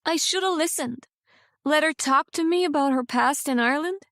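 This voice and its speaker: A woman's voice: sits high, moderate pace, fairly bright tone, no room sound, studio quality, pitched above the performer's own voice. Woman doing a tearful young woman, raised voice. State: crying. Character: young woman grieving her mother, tearful.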